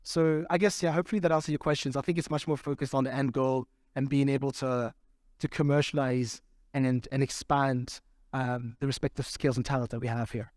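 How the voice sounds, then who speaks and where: A man's voice: medium pitch, flat delivery, fairly quick, darkish tone, no echo, clean studio sound. A man speaking at a conference, answering audience questions in a loose, conversational way, often checking in with the room. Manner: conversational, keeps checking in with "right?".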